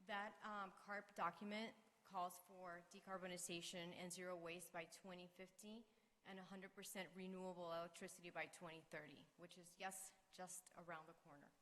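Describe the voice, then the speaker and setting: A woman's voice: fairly low pitch, level tone, moderate pace, a bit bright. A woman, a parent, giving an earnest, persuasive prepared statement to a school committee. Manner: earnest, persuasive, rises in emphasis. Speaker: parent speaking at public comment. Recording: reverberant meeting room with faint hiss.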